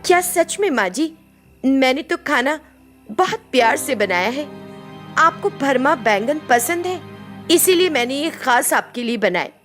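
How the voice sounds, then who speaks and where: A woman's voice: high-pitched, lively intonation, unhurried, fairly bright tone, faint music behind. A woman playing a meek, anxious young daughter-in-law with an apologetic, respectful manner. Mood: hurt, eager.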